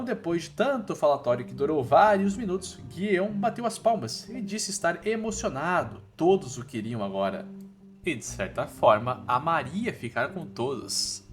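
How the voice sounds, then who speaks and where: A Brazilian man narrates a story recap in colloquial, slangy language. His voice sits a bit high and moves up and down with plenty of animation. Plucked-string music plays softly behind his playful delivery. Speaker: narrator of comic recap videos.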